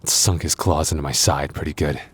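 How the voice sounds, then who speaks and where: A man playing an exhausted, wounded werewolf boyfriend in an ASMR roleplay, tender and reassuring, with halting, sighing, intimate delivery. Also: extremely low pitch, fairly even intonation, a bit bright, breathy edge, full character voice. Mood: weary, recounting.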